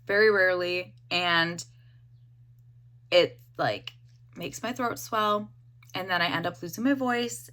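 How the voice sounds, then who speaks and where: A young woman with a hoarse voice, casually reviewing a hair dye and rambling with lots of fillers. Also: fairly low pitch, slow, pauses often, sharp tone. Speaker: beauty vlogger.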